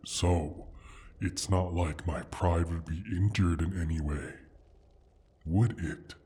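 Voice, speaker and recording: Deep, husky, haughty dragon. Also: male voice, steady tone, dark tone, exaggerated voice. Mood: grudging, sly. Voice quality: husky, breathy rasp. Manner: pompous, disdainful, halting theatrical delivery. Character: proud, injured male dragon, menacing and haughty. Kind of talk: fantasy audio roleplay acting.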